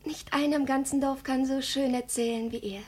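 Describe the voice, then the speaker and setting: Hushed, earnest village child. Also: female voice, high voice, steady tone, a little fast, breathy edge. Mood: earnest, loyal. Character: earnest, loyal village child, dreamy storyteller. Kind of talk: German radio play acting.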